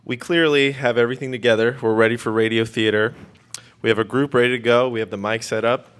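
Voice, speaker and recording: A man with an ordinary mid-pitched voice gives a casual live introduction. He talks like a teacher presenting his class on a student radio program, improvising as he goes. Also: fairly even intonation, fast talker, a bit bright, clean tone.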